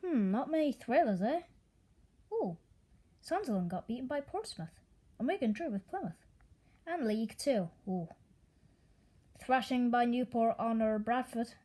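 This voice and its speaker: A woman's voice: fairly high pitch, animated, a little slow, pauses often, dark tone. A young woman with an American accent, originally from Italy, reading out football results in a casual, offhand way with dry asides. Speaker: sports news presenter.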